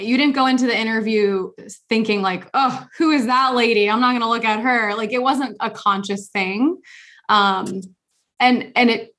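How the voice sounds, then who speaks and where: A woman's voice: a little high, a bit bright. A young woman hosting a podcast, talking casually and openly, with lots of filler words. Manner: chatty, frequent "like" fillers. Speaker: podcast host. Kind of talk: casual chat.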